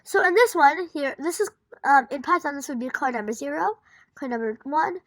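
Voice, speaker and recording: A woman's voice: sits high, a little fast, fairly bright tone, no echo. A woman reading through a programming tutorial, talking through code examples with fillers and self-corrections. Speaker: coding tutor.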